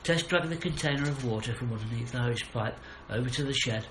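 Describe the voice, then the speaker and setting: Guy reading kids audiobook, dramatic, medium pitch, echoey room, bit of noise. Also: brisk. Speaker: author narrating own audiobook. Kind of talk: scripted children's story narration. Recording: roomy, faint background noise.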